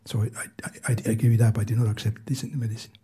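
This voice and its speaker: Older Greek-accented man, 80, hearing witness; low, hushed, husky voice, halting delivery. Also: level tone. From Greece. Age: 80s. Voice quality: soft, weak voice. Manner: earnest, halting, with restarts. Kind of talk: reading prepared testimony. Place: hearing room.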